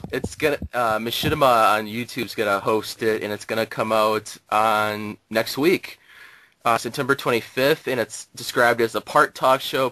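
A man's voice: mid-range voice, sharp tone. A man co-hosting a casual web show, talking in a hesitant way with many fillers and restarts. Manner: hesitant, halting with fillers and repeats. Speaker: podcast co-host. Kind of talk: casual chat.

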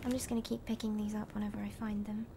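A woman's voice: mid-range voice, flat delivery, fast talker, vocal fry, noisy background. A woman giving casual, nervous and hesitant commentary while she plays a horror game, often muttering. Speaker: gamer and voice actress. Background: game music.

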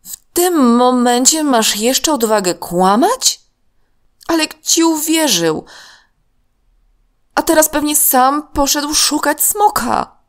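A woman's voice: high voice, lively intonation, slow, voice pushed up. A woman voicing a bossy, upset young girl in a children's story, speaking indignantly and emphatically. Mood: upset, scolding.

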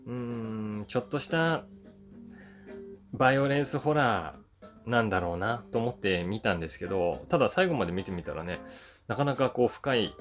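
Low-voiced man with very lively intonation. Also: moderate pace. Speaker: YouTube host reviewing films, voice actor. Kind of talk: casual film review monologue to camera. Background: background guitar music.

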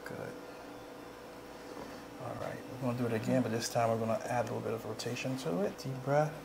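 A middle-aged man talks a client through treatment. His voice has a good deal of fry, and he speaks softly and calmly in short repeated cues. Noticeable room noise sits behind him. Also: mid-range voice, fairly quick. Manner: calm, repetitive short cues. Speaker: chiropractor. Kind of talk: soft-spoken instructions to a client during treatment. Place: small indoor treatment room. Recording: noisy room ambience.

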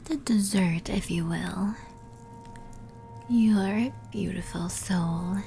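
Woman playing a slow, whispering seductive demoness. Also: mid-range voice, mellow, faint music behind. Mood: predatory, seductive. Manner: teasing, predatory, breathy whispering. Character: seductive, menacing female soul-eating demon, toying with prey. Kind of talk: whispered ASMR roleplay.

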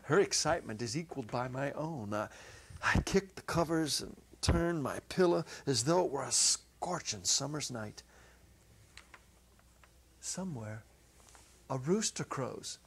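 A middle-aged man narrating a short story as a dramatic reading, theatrical, with deliberate pauses and shifts in intensity. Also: mid-range voice, lively intonation, a little hiss or hum. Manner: theatrical, varying from hushed to emphatic, with deliberate pauses. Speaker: narrator, reader. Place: indoor stage set, seated at a music stand. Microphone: clip-on lapel mic.

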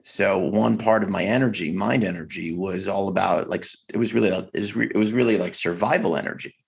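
Low gravelly guy on a video call, kinda husky, lots of um and like, thinking out loud. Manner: reflective, halting, many fillers and restarts. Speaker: podcast guest. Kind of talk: candid conversation.

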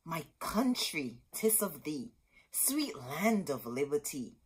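A middle-aged woman hosting a storytime, reading a picture book aloud expressively with dramatic, deliberate phrasing and asides to her listeners. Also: low-pitched, sing-song, slightly rough. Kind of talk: expressive children's read-aloud. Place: small indoor room with bookshelves.

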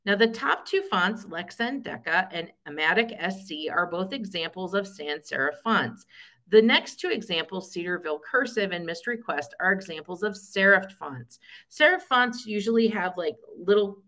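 A woman from Michigan with a Northern US accent, giving a webinar lecture over slides in a clear, explanatory way with frequent ums. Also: mid-range voice, lively intonation, normal speed, bright, forceful tone. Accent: Northern US, Michigan with some Texas. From Michigan, USA. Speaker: accessibility presenter.

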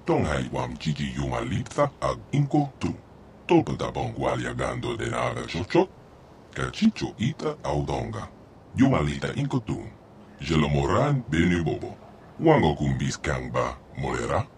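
An actor playing a stubborn alien guide in a video game, speaking an invented tongue in an emphatic singsong. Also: male voice, rumbling low, huge pitch swings, moderate pace, a little mellow, a little hiss or hum, heavily acted. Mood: insistent.

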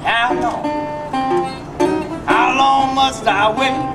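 A man's voice: extremely high pitch, steady tone, extremely slow. A man singing blues live outdoors with guitar accompaniment. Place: outdoor stage, sung into a large horn, far camera. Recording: distant outdoor pickup. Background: resonator guitar playing.

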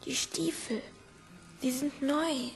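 An anxious, doubting little orphan in an audio drama. The child speaks in a high, slow, hesitant voice with music clearly behind. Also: female voice, steady tone, heavily acted. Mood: uneasy, doubting. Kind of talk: hushed audio drama dialogue.

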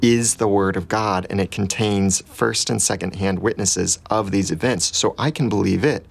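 A man's voice: lowish voice, moderate pace, vocal fry, faint music behind. A young adult man talking in a documentary interview indoors.

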